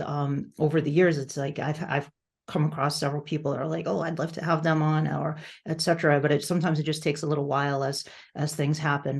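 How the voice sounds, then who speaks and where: A woman's voice: deep voice, vocal fry, darkish tone. A woman hosting a podcast in casual interview chat, effusive and rambling as she goes. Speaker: podcast host.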